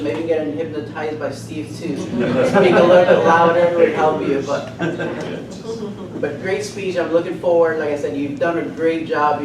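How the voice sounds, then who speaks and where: A soft-voiced man giving an earnest, halting spoken evaluation of a speech at a club meeting, often repeating words. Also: a little high. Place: indoor meeting room. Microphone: distant room mic. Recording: roomy, distant, noisy room recording.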